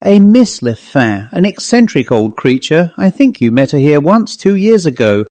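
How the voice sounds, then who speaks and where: A man's voice: fairly high pitch, very animated, moderate pace, warm tone, dry recording. A man voicing a gossipy, matter-of-fact country woman in a storybook reading, conversational and genteel. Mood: chatty.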